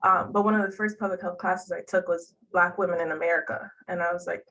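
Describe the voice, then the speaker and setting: A woman's voice: fairly low pitch, fairly even intonation, fast talker, fairly bright tone. Chatty young woman, slightly distant mic. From Haiti. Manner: chatty, laughs between phrases. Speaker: interview guest, public health worker. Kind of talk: conversational interview answers.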